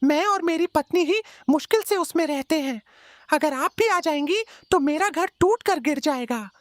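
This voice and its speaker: A woman's voice: high-pitched, expressive, normal speed, no echo. A woman voicing an anxious, apologetic male parrot in a cartoon story. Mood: apologetic refusal.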